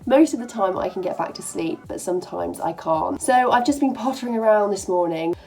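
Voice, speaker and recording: A woman in her twenties, a medical student, chatting casually to camera in an upbeat, quick way, with a stuffy nose from a cold. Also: medium pitch, gravelly, warm tone. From England. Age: 20s. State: bunged up with a cold. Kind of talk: casual vlog talk.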